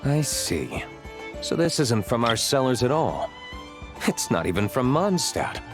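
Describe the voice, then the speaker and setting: A man's voice: medium pitch, big pitch swings, measured pace. A man playing a cool, shrewd, self-assured winery agent in a video game, speaking in a dry, unruffled way. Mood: calm, assessing. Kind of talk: video game dialogue acting. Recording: clear music behind.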